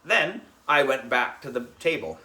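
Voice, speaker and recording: Bright, animated man reading a kids' story. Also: mid-range voice, measured pace, poor audio. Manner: expressive, dramatic. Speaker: audiobook reader, narrating as a schoolboy. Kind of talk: animated children's story narration.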